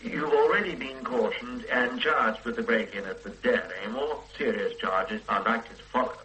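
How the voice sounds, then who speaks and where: An actor in a radio play voicing a formal, methodical police detective who speaks in a measured, procedural way. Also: male voice, mid-range voice, bright, forceful tone, a little hiss or hum, close to an everyday voice, low-quality recording.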